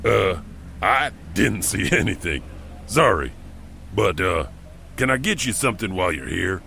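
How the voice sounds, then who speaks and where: An easygoing, folksy janitor in a horror audio drama. The voice is slow and creaky, with lively swings in pitch. He is affable and hesitant, heard over clear background noise. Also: heavily acted. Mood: easygoing, apologetic. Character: laid-back, affable male janitor.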